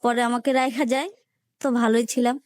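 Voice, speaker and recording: High-pitched young Bangladeshi woman. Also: flat delivery, brisk, a little mellow, no room sound. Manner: colloquial, re-enacts reported dialogue as she narrates. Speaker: guest telling her life story. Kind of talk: personal storytelling in an interview.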